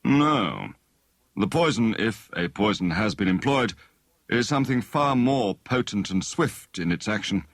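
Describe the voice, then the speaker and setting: A man voicing a kind, worried elderly doctor in an audiobook, speaking in a formal, deliberate, clinical way. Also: lowish voice, expressive, no echo, studio quality. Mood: grave, measured.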